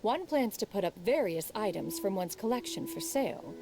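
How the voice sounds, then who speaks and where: A woman's voice: mid-range voice, animated, moderate pace, vocal fry, over music. A woman voicing a proud, aloof immortal elder master in a fantasy game, with formal, archaic, haughty diction. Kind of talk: animated fantasy game acting.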